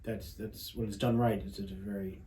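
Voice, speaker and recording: A man's voice: on the low side, level tone, fairly quick, mellow. A man, a playwright, talking reflectively in a modest, hesitant way in an echoey room with faint noise. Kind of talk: casual reflective conversation.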